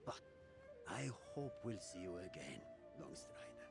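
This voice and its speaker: A man's voice: low-pitched, big pitch swings, unhurried, gravelly, faint music behind, heavily acted. A man playing a tiny, boastful, lovesick drunken pirate cat in a video game, talking theatrically. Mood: tipsy, wistful. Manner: talks of self in third person, theatrical. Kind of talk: video game character acting.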